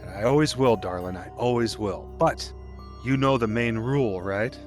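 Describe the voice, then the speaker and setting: An actor playing a protective, guilt-ridden deputy father in an audio play, speaking tenderly and haltingly with a stern edge. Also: medium pitch, soft background music, lightly acted. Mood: protective, instructing. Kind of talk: dramatic audio theatre acting.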